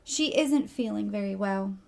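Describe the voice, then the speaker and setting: A woman's voice: medium pitch, unhurried, gravelly, darkish tone. A woman voicing an innocent, trusting little girl in a fairy tale, sweet and wide-eyed. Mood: polite.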